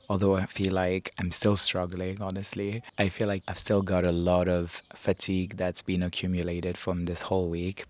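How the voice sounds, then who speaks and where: A man in his thirties vlogging to a handheld camera with cheerful, chatty enthusiasm, his voice a little hoarse. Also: sits low, dry recording. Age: 30s. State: hoarse, recovering voice. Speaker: travel vlogger. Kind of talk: casual vlog to camera. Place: outdoors on a rooftop terrace. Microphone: small handheld camera at arm's length.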